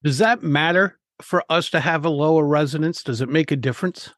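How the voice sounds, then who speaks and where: A man hosting a public speaking podcast, reading a measured, clear introduction and asking his guest questions. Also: a little high, a bit bright, no room sound, studio quality. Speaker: podcast host. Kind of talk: scripted introduction and interview questions.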